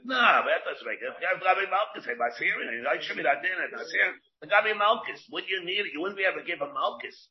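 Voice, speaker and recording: Rabbi giving a Talmud class, slightly high voice, muffled lo-fi recording. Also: male voice, expressive. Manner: halting, restarts and asks questions aloud, answers students. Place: classroom with students.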